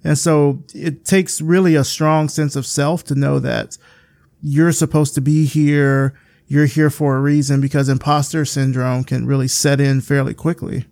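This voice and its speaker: A male podcast host speaks in a fairly high voice with a gravelly edge, thoughtful and careful with his words. The sound is dry and close. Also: flat delivery, unhurried, darkish tone, dry recording. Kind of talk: interview conversation.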